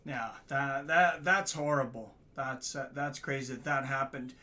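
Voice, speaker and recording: A man's voice: mid-range voice, steady tone, a little slow, bright, forceful tone. A man hosting an interview, chatty and a little hesitant, agreeing often. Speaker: interview host. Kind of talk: casual interview questions.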